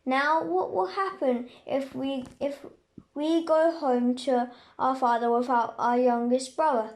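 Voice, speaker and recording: A woman playing an anguished brother who pleads to be enslaved in another's place, in a halting amateur dramatic reading. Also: high voice, fairly even intonation, darkish tone. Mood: pleading.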